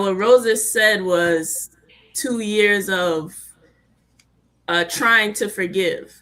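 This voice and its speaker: Woman, bright tense tone, slow delivery, medium pitch, firm, measured, casual discussion.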